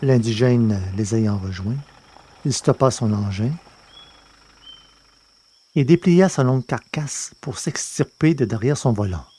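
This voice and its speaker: Brief: male podcast host, steady measured audiobook narrator, mid pitch. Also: breathy edge.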